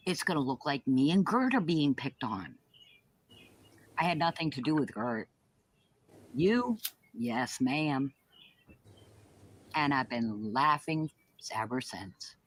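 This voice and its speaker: A woman's voice: extremely low pitch, a bit bright, slight background noise. A woman delivering a taunting, foul-mouthed monologue.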